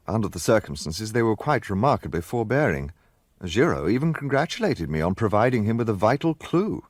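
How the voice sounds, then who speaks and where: Earnest gentleman sidekick, extremely varied intonation, dry close sound, candid, conversational, radio mystery. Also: fairly low pitch, dry recording. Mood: rueful. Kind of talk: radio drama narration and dialogue.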